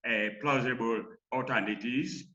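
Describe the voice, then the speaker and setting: A man, presenting research formally with measured phrasing in an academic lecture style. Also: medium pitch, bright, forceful tone. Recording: dull lo-fi online call audio.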